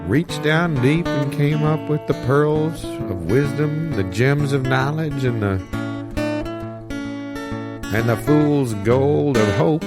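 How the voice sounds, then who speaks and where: A man's voice: fairly high pitch, slow pace. A man playing a folksy, wry older storyteller, reminiscing without hurry in an improvised comic monologue. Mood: wry, wistful. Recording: guitar music behind the voice. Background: plucked guitar music.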